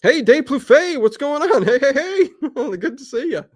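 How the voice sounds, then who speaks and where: A man's voice: very high-pitched, sing-song, measured pace, dry recording, clean studio sound. Middle-aged man in rambling casual chat. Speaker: livestream show host being interviewed.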